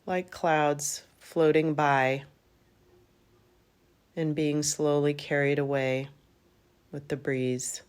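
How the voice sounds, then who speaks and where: A woman with a low voice guides a deep-rest meditation. She speaks very slowly and gently, leaving long pauses between short phrases. Her manner stays calm throughout. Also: clear, resonant tone. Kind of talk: guided yoga nidra meditation.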